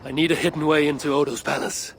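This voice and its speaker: An actor playing a blunt, determined Norse warrior with terse, resolute delivery in a dramatic video game. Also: male voice, fairly high pitch, little pitch movement, fast, a bit bright, a little hiss or hum. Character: determined, blunt Norse raider warrior seeking to end bloodshed.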